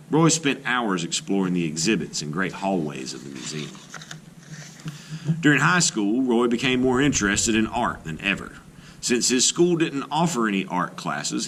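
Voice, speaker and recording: A man reading a children's book aloud as an art teacher, in an expressive storytelling style with deliberate pauses. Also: fairly low pitch, a little hiss or hum. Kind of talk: scripted narration, children's read-aloud.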